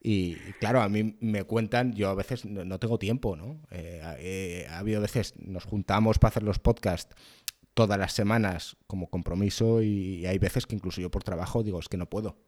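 Hombre de voz grave, acento de España. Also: male voice, lowish voice, normal speed, a little mellow. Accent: European Spanish. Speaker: podcast host. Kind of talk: casual conversational podcast interview.

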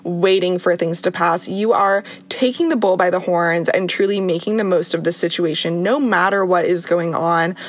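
Upbeat young woman podcast host, slightly raspy. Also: lowish voice, moderate pace, hardly any pauses. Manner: warm, encouraging, chatty with laughs. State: slightly raspy from a sore throat. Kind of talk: upbeat motivational podcast talk.

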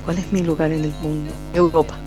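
A woman from Colombia answering radio interview questions, laughing often and replying warmly. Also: deep voice, little pitch movement, a little fast, soft background music. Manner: giggly, laughs often, warm replies. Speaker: singer guest.

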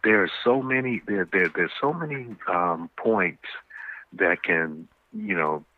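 A man giving measured, explanatory answers as a guest in a conversational interview. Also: low voice. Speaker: stage play cast member, panel guest. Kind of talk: conversational, informative interview talk. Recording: muffled phone-like radio line.

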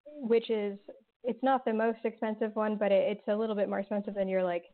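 A woman's voice: medium pitch, flat delivery, brisk. Chatty woman, lots of "like" and "um", tinny phone line. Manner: chatty, many "like" and "um" fillers, sarcastic humor. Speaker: podcast creator and writer, guest. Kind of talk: casual interview chat.

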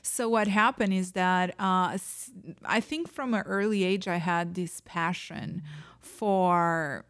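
A woman's voice: lowish voice, slow pace, a bit bright. A woman from Portugal with a Portuguese accent, speaking conversationally as an interview guest on a podcast. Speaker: podcast guest, real estate agent.

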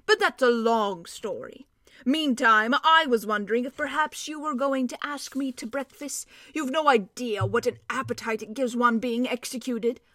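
A woman voicing a cheerful, stocky male dwarf with a hearty, emphatic, drawn-out way of talking. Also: a little high, sharp tone, dry recording.

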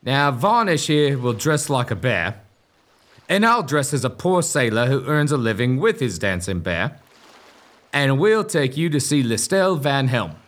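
A scheming, confident young suitor acted by a man in a comic gothic audio drama. He speaks in a middling pitch, smooth-talking and brisk as he gives orders. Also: sing-song, fairly bright tone. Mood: sly, commanding.

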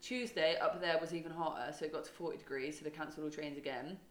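A woman's voice: sits low, fairly even intonation, fast, roomy sound. A podcast co-host, a woman, chatting casually, laughing often and telling rambling stories. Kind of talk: casual chat.

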